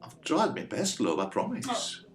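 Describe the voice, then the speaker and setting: Mild boyfriend, lively pitch. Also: male voice, measured pace. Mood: earnest, unsure. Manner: mild, tentative, reassuring. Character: well-meaning, placid garage mechanic boyfriend. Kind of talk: audio drama acting. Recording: roomy echo, faint background noise.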